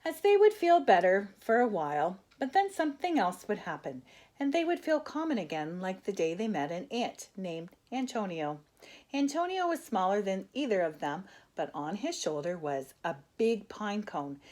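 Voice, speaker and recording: An older woman reading a children's storybook aloud in an expressive way, as a grandmother would to young listeners. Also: mid-range voice, moderate pace. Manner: expressive, asks the listeners questions.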